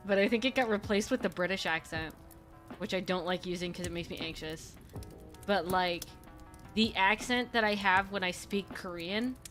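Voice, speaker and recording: A woman's voice: mid-range voice, fairly bright tone. A woman streamer in casual chat, rambling and laughing often with faint music behind her.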